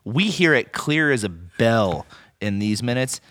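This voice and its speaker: An American man hosting a podcast, chatting casually and jokily about a movie in an animated way. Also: medium pitch, measured pace, dry recording, studio quality. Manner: jokey, animated. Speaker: podcast host. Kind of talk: casual comedic movie chat.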